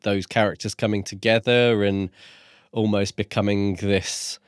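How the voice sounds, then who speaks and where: A man's voice: lowish voice, level tone, a little slow, smooth, no echo, studio quality. A man co-hosting a book review podcast, recapping and discussing a novel. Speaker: podcast co-host.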